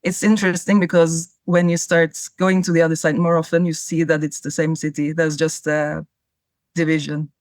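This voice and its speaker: Young cypriot woman, turkish first language, even monotone-ish voice, measured answers. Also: low-pitched, no echo. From Cyprus. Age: young adult. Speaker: guest, travel writer. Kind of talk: interview answers.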